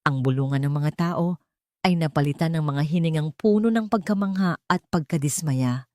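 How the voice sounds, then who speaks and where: Female narrator, low register, dry close sound, solemn and dramatic storytelling delivery. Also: moderate pace, a little mellow, dry recording.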